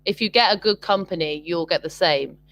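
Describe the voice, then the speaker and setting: Young British woman, online tutor, slightly low pitch, hesitant casual delivery over a video call. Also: fairly quick, fairly bright tone. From Britain. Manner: hesitant, many ums and restarts.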